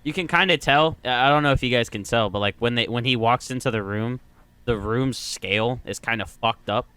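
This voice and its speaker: A man's voice: mid-range voice, brisk, a bit bright, clean tone, no room sound. A man giving casual, slangy, profanity-laced reaction commentary as a YouTuber. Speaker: YouTuber reacting to horror videos.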